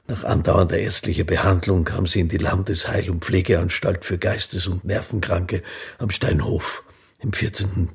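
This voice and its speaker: An actor playing a sober witness who recounts a life story factually in a radio play. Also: male voice, sits low, gravelly, breathy edge, heavily acted.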